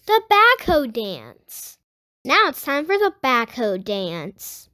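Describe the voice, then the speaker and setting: A child host reading a picture book aloud for young listeners, addressing them directly in an excited way. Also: sits high, slow pace. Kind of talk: storybook read-aloud with enthusiastic channel intro and sign-off.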